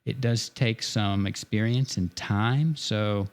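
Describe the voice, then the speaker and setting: A relaxed man with a low, gravelly voice chats casually while demonstrating a drawing on a live stream. He jokes easily and thinks aloud about his work as he goes. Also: slow pace.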